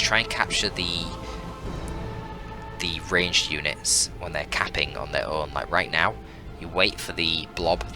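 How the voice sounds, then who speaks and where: A man commentating on a strategy game match as a shoutcaster, explaining tactics analytically as he goes. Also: deep voice. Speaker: esports shoutcaster.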